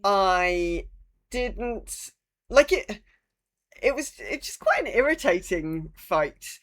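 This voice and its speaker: Casual woman podcaster, medium pitch. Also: big pitch swings, slow, fairly bright tone. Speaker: podcast co-host. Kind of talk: casual gaming chat.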